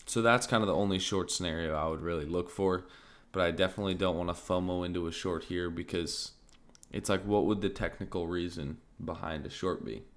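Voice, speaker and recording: A man streaming crypto market commentary in a casual, thinking-aloud way, his voice slightly raspy and often interrupted by throat clearing. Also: deep voice, brisk, hardly any pauses. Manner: relaxed, thinking aloud, many fillers like "like" and "um".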